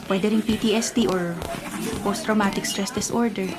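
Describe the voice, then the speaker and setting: A woman's voice: medium pitch, steady tone, normal speed, natural voice. An actress playing a young, troubled and confused woman race driver in a Filipino radio drama, earnest and conversational. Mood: casual, defensive. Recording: radio drama under clear background music.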